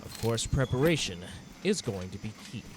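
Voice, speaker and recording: A man's voice: mid-range voice, big pitch swings, normal speed, background noise. A man narrating a monster-hunting game in the first person, in a dramatic storytelling manner, as a scripted adventure. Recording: music behind the voice.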